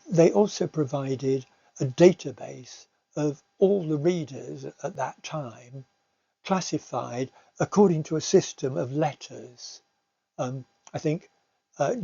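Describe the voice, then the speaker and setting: A man presents slides in an informal, explanatory way, like a teacher. His voice is husky and soft-edged, and he often pauses as he searches for words. The recording is dull and roomy. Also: medium pitch. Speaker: language teacher giving a talk. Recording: muffled, roomy home recording.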